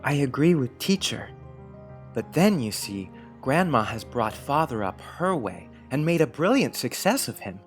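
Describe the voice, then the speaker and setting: An actor playing a serious, polite young schoolboy who speaks earnestly and deliberates aloud in a dramatised audiobook. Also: medium pitch, very animated, faint music behind. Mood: earnest, puzzled.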